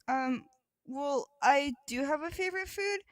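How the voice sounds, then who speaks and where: A woman's voice: sits high, lively intonation, measured pace, a crowd in the background. A woman co-hosting a podcast in casual comedic banter, playful and wry. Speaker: podcast co-host.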